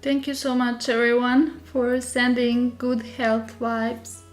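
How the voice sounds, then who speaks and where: Woman, Serbian accent, fairly high pitch, halting casual tutorial chat, mildly sick, faint background music. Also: little pitch movement. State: slightly unwell with a sore throat. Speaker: digital journaling creator hosting a live stream.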